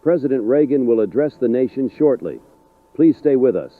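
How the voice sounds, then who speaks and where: A composed emergency radio announcer played by a man, mid-pitched, with deliberate and official delivery in a 1980s style. The voice is dry and boxed into a thin, phone-like band. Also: animated, no echo, lightly acted. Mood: formal, composed. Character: formal, composed emergency broadcast station announcer. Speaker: broadcast announcer. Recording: tinny narrow-band 1980s radio broadcast.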